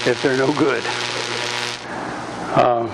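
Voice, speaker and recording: A man giving a live workshop demonstration in a club meeting room, projecting his voice loudly and rambling along with frequent ums. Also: mid-range voice, steady tone, takes it slowly, gravelly. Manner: loud, projecting without a speaker system. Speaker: woodturning demonstrator. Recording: noisy room recording.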